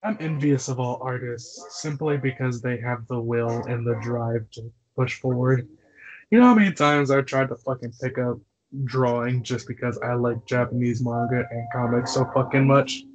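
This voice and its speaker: A man's voice: medium pitch, moderate pace, low-quality recording. A man who is a podcast guest in casual chat, frank and self-mocking, swearing as he talks.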